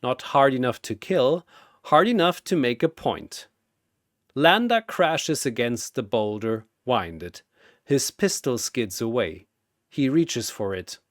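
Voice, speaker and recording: A male narrator delivers scripted dramatic storytelling at an unhurried pace. His pitch moves around a lot, with theatrical, deliberate phrasing. The sound is dry, with little room in it. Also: expressive, no echo.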